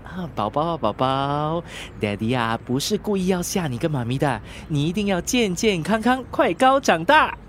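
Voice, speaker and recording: A man's voice: fairly high pitch, big pitch swings, normal speed, hardly any pauses, a little hiss or hum. A man acting in a radio drama as a devoted, effusive young husband. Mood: overjoyed, doting. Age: young adult. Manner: effusive, melodramatic.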